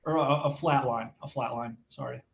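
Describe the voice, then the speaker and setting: A man's voice: medium pitch, steady tone, moderate pace, vocal fry, slight background noise. A man giving casual live chart commentary as an analyst, informal and trailing off at phrase ends. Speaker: market analyst.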